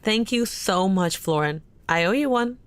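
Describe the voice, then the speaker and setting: A woman's voice: medium pitch, expressive, moderate pace, clean tone, close to an everyday voice. An actress playing a grateful, slightly evasive woman in an everyday audio drama conversation.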